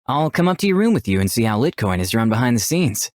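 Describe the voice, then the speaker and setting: A very animated voice in a dry, close recording, reading a visual novel's player lines and narration. The delivery is playful and flirtatious, with pitch that swings freely. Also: fast, dry recording. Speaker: player voicing the protagonist and narration.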